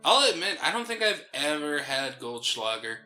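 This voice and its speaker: A man's voice: on the high side, big pitch swings, moderate pace, bright, forceful tone. A man co-hosting a gaming let's play, chatting casually with dry, playful replies. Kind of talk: casual chat, game commentary. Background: faint game music.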